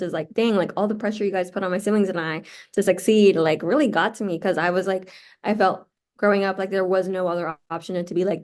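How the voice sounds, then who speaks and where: A woman in her twenties, born in Peru and raised in the United States, speaking as an interview guest, chatting casually and filling her sentences with "like.". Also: fairly low pitch. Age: 20s. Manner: chatty, heavy use of "like" fillers. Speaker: guest, law student.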